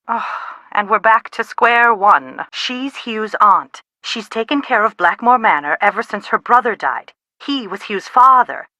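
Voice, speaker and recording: A woman playing an anxious, sharp-tongued middle-aged mother in a video game, with a dry, dramatic manner. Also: mid-range voice, animated, measured pace, no echo. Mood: wry, concerned. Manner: exasperated, dramatic, dry wit. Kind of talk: video game dialogue, phone conversation acting.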